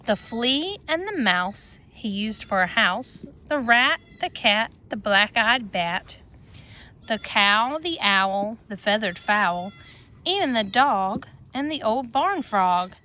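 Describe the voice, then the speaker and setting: A woman reading a rhyming picture book aloud to children in an expressive sing-song manner, with chatty asides, outdoors on a farm with goats. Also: a little high, slow pace, slight background noise.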